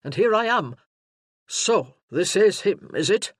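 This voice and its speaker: A narrator voicing a burly, stern former army sergeant who barks orders at a prisoner. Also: male voice, sits high, big pitch swings, takes it slowly, fairly bright tone, husky, dry recording, clean studio sound, pitched above the performer's own voice, full character voice. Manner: barking, commanding.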